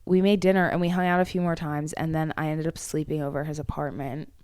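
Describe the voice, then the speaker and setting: A woman in her twenties co-hosting a podcast in casual chat, animated, swearing freely and acting out what others said. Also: low-pitched, fast, smooth, no echo, clean studio sound. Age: 20s. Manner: animated, swears freely, laughs often, acts out quotes. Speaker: podcast co-host.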